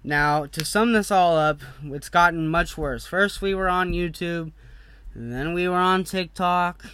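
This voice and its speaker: A man's voice: sits high, a little slow, sharp tone, clear, resonant tone. A young man hosting his own podcast, ranting bluntly and heatedly, swearing freely and breaking off into casual asides. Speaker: podcast host.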